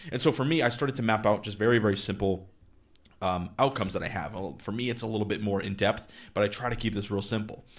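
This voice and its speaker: A man's voice: lowish voice, fast. A man in his thirties casually explaining his investing goals, thinking aloud with fillers and acting out conversations with clients. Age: 30s. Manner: acts out dialogue with clients in quotes. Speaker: investing channel host.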